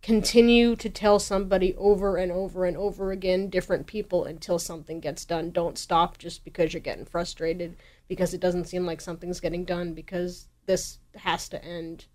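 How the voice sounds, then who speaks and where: A woman's voice: on the low side, fairly even intonation, moderate pace, few pauses, a little mellow. A woman speaking as an interview guest and campaigner, explaining things in an earnest, emphatic way.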